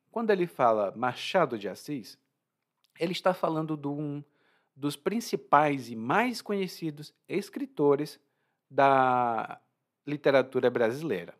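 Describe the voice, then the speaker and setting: A Brazilian man explaining words to language learners, with a slow, clear teaching delivery and frequent check-in questions. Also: a little high, lively intonation.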